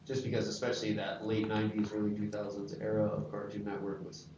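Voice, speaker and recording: A man speaking politely and haltingly in a casual group discussion, qualifying his points as he goes. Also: fairly low pitch, completely flat delivery, highs cut off. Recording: very echoey, distant, noisy room.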